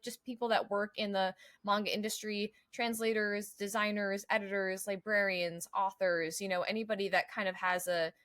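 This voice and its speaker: A woman's voice: mid-range voice, fairly bright tone, no room sound, studio quality. An American woman co-hosting a podcast, chatting casually in an agreeable, conversational way. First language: English. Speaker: podcast co-host.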